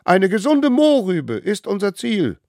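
A bunch of squeaky little wild kids, as a male storyteller puts them on, blurting out eager excuses at the top of their voices. The voice jumps all over the place and stays loud. It sounds dry and close. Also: huge pitch swings, measured pace, creaky, dry recording, full character voice. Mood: solemn. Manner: high squeaky shouting, babbling excuses. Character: small excitable stone-age kids, eager and fibbing.

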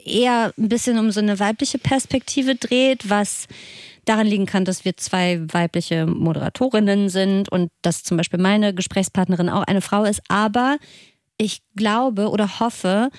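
A woman in her late thirties co-hosting a podcast, chatting in a lively, self-ironic way. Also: medium pitch, normal speed, dry recording, clean studio sound. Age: 30s. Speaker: podcast co-host. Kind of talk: casual podcast chat.

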